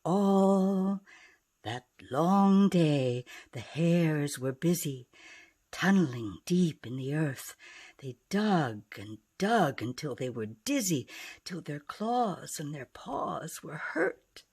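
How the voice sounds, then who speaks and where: A woman reading a rhyming picture book expressively, with dramatic delivery that dips into hushed tones. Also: low-pitched, big pitch swings, slow pace, slightly rough. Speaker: author and narrator.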